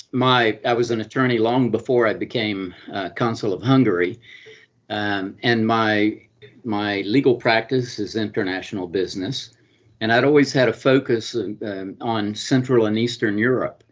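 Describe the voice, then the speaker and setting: A middle-aged man with a Southern Georgia accent gives interview answers in a low voice. He hesitates often, restarting phrases and filling gaps with ums and uhs. The recording sounds dull and lo-fi. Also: measured pace. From US state of Georgia. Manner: hesitant, many fillers and restarts. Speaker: consul and business attorney, guest.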